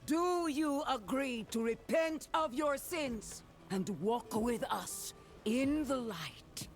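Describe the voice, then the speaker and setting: An actress playing a stern, pious high priestess in a fantasy game, speaking with oratorical authority. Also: female voice, lively intonation, takes it slowly, exaggerated voice. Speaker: religious leader. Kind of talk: cinematic fantasy game acting. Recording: music behind.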